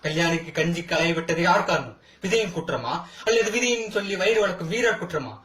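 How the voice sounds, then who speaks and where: A man performs as a wronged brother defending himself in a film courtroom drama. His fairly high voice declaims with defiant, impassioned rhetoric and dramatic pauses. The sound rings with clear room echo. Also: animated, pushed and bright. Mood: fiery accusing. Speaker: amateur actor practising. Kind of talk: theatrical Tamil film courtroom monologue. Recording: echoey room.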